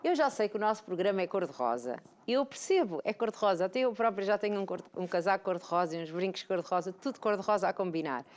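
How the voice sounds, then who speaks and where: A woman's voice: mid-range voice, animated, quick pace, hardly any pauses. A woman from Portugal co-hosting a talk show, chatty and emphatic, often repeating her points. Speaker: co-host. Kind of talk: casual chat.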